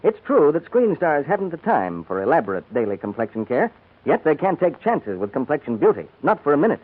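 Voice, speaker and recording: Male American announcer, quick, slightly husky, emphatic sales pitch, tinny vintage radio sound. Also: very animated. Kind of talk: 1940s radio advertising pitch. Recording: tinny 1940s radio recording.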